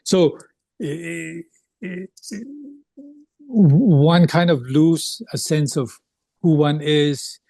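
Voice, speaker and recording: Man, hesitant, halting, fillers and restarts, dry room. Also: on the high side, creaky, dark tone, no room sound. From Burma, raised in Thailand. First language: Thai. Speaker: artist. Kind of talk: panel talk.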